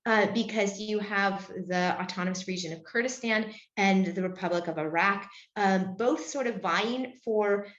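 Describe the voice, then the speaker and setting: A woman, a nun being interviewed, giving careful answers full of pauses, fillers and restarts over a video call. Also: medium pitch, a bit bright. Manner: hesitant, heavy with fillers and restarts. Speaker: Benedictine nun, interview guest. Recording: roomy, dull lo-fi sound.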